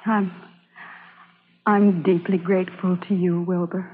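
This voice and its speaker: An actress playing a brave young woman spy in an old-time radio drama, speaking in a solemn, dramatic, halting way. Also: on the low side, little pitch movement, narrow, tinny audio, a little hiss or hum, natural voice. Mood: fearful, grateful. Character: brave young woman spy narrating her wartime ordeal. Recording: thin vintage radio recording, music behind.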